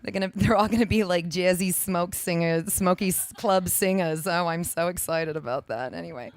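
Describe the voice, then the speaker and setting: Raspy American woman chatting between songs, guitar behind. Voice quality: low, raspy. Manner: rambling, self-deprecating, jokey. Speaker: singer-songwriter. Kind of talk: casual stage banter between songs. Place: live-streamed home performance with guitar.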